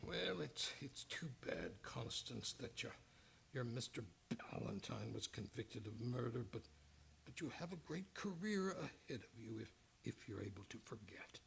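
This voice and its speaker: Man acting creaky, stammering, nervous doctor. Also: mid-range voice, lively intonation, unhurried, a bit bright, a little hiss or hum, exaggerated voice. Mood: falsely sympathetic. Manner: stammering, hesitant, fussy. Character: nervous, genteel male senior psychiatrist, quietly guilty, condescending. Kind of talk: old-time radio drama acting. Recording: studio radio play with music behind.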